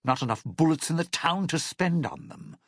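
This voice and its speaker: An actor playing a cynical, threatening entertainer and sly survivor in a video game, talking with wry, smooth menace. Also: male voice, on the high side, sing-song, moderate pace, no room sound, clean studio sound, full character voice. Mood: cautionary, grim. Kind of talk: video game character dialogue.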